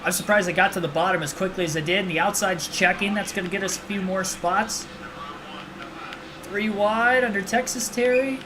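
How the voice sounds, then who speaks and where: A young man with a high, light voice gives chatty, excitable live commentary as he plays a racing game. His quick reactions run over a steady air-conditioner hum that sits clearly under the whole recording. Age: 20s. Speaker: livestream host. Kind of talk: casual live gameplay commentary.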